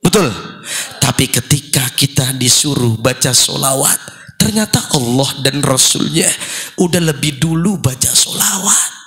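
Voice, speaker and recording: A man, hoarse after losing his voice, preaching a comic sermon and calling out questions to the congregation. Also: medium pitch, unhurried. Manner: joking, lively, calls out questions to the crowd. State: hoarse, recovering from lost voice. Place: religious gathering.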